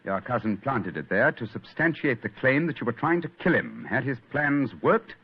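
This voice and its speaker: An actor playing a shrewd, commanding British gentleman detective who explains things with brisk authority in old-fashioned radio drama style. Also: mid-range voice, expressive, breathy edge. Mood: brisk, analytical. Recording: muffled old radio recording, faint noise.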